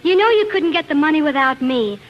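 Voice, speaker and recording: An actress playing a heartfelt young singer and bride-to-be in a 1940s radio drama. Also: female voice, sits high, lively intonation, fairly quick. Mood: hurt, accusing. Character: heartfelt young woman singer, bride-to-be, quick to feel betrayed. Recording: muffled, hissy 1940s radio broadcast.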